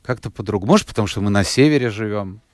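A man with a fairly low voice chats loosely through an interview, often stopping and restarting his sentences. He is recorded close and dry, with no room sound. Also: rapid-fire, no room sound. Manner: rambling, with many fillers and restarts. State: unwell, recovering. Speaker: radio host, guest here. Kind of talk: relaxed conversational interview.

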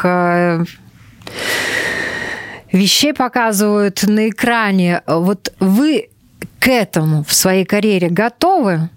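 A woman's voice: medium pitch, clear, resonant tone, no echo, clean studio sound. A woman hosting a conversational interview, putting questions to her guests. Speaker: host interviewing young actors.

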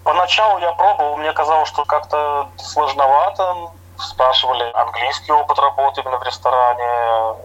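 A middle-aged man from Latvia, a chef, answering interview questions in an unhurried, plain way with soft hedging fillers. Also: mid-range voice, fairly even intonation, highs cut off.

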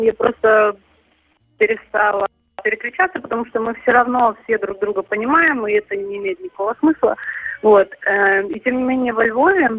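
Give me a high-voiced woman from Ukraine, speaking haltingly with lots of fillers and restarts. Her voice comes through a thin, tinny phone line. The sound is dry, with no room around it. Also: no echo. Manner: hesitant, many fillers and restarts. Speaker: interview guest. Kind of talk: casual conversational answers.

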